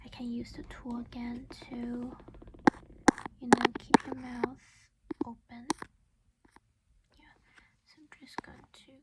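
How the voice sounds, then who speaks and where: A woman whispering in a gentle, reassuring, halting way, in an ASMR roleplay as a caring vampire mother. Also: a little high, completely flat delivery, rough recording. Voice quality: breathy whisper. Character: caring vampire mother doing a dental checkup.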